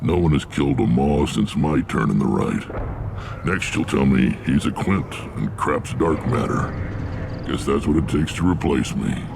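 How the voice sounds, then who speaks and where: An actor playing a gruff old alien clan chief in a video game, dry, sardonic and commanding, with a gravelly rumble. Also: male voice, animated, unhurried, heavily acted. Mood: wry. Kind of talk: video game character acting. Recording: noisy game ambience behind.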